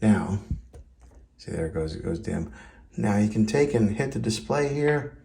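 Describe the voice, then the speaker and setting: Low-voiced man in an echoey room. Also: animated, measured pace, vocal fry, dark tone, slight background noise. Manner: plain-spoken, conversational, explaining step by step. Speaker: tech reviewer and presenter. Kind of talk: casual hands-on product review. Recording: echoey, roomy indoor sound.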